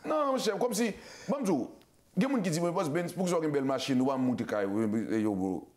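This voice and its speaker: A man with a Haitian accent hosts a loose, casual talk show. He talks at a rapid clip, stumbling into restarts and repeated words. He slips Creole and English phrases into his speech. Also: on the high side, expressive, a little mellow. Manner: fast, repetitive, stammering restarts, mixes Creole and English. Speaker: show host.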